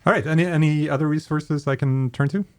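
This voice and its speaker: A man's voice: fairly high pitch, steady tone, fast talker, darkish tone, dry recording. A man around his thirties hosting a podcast, chatting casually as he interviews a guest. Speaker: podcast host. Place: small indoor podcast studio. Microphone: close studio mic on boom arm.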